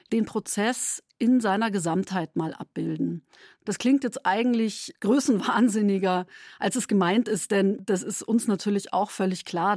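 A woman's voice: mid-range voice, darkish tone, no room sound, studio quality. A woman who makes radio drama, explaining her work in interview style.

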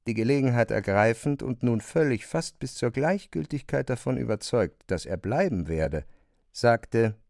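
A man narrating a literary radio drama from a script, in a measured, articulate storytelling manner. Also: mid-range voice, animated, gravelly, a little mellow, no room sound, studio quality.

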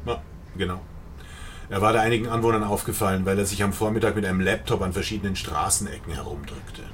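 An actor playing a chatty, knowing police colleague in a radio drama, explaining things wryly. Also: male voice, low-pitched, fast talker, slight background noise, close to an everyday voice. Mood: informative. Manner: wry, explanatory.

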